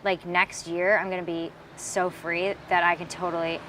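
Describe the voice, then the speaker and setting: A woman's voice: lowish voice, little pitch movement, pushed and bright, slight background noise, poor audio. A woman playing a flaky friend who keeps putting off plans, talking casually with many fillers. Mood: evasive. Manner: casual, halting, full of fillers.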